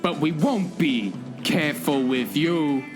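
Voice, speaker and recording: A man's voice: on the high side, slow pace. A man playing an insistent, taunting usher-thug in a radio play, theatrical in delivery. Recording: music behind the voice.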